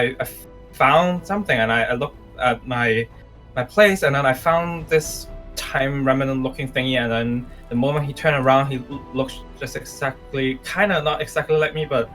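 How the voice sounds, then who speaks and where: A man's voice: medium pitch, sharp tone, natural voice. A man playing a nervous, confused young guild mage in improvised game roleplay, hesitant and stammering over his words. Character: nervous, confused, unsure young time-magic guild member. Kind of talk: improvised Minecraft roleplay acting. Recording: background music under voices.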